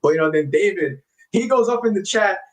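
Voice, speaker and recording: Chatty self-deprecating man guest, fairly high voice. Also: lively intonation, a little fast. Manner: hesitant, many fillers and restarts. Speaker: guest actor. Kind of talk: casual interview chat.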